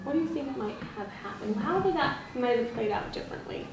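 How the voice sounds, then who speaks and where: Higher-voiced woman teacher, distant and roomy. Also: expressive, a little fast. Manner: encouraging, question-led. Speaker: teacher in training. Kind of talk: leading an interactive read-aloud discussion. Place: indoor room with background noise. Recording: roomy, distant mic, noisy classroom.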